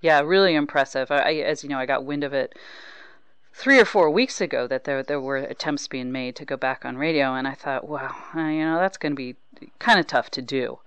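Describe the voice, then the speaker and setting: A woman hosts a casual sports interview in a clear, smooth voice. She talks conversationally and with ready approval, and the sound is close and dry. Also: extremely low pitch, fairly quick, a bit bright, no room sound. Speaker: sports podcast host.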